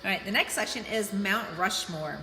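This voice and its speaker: Female teacher, read-aloud lesson, mid-pitched voice in a reverberant room with faint noise behind. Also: moderate pace, bright, forceful tone. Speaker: teacher reading aloud to students. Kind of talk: read-aloud lesson from a children's nonfiction book. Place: indoor room with heating running. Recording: echoey, reverberant room with background hum. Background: heater noise.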